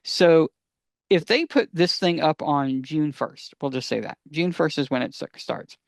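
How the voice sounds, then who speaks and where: A man's voice: on the high side, unhurried, gravelly, no echo, studio quality. A man co-hosting a casual podcast, explaining things emphatically and often posing questions that he answers himself. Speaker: podcast co-host.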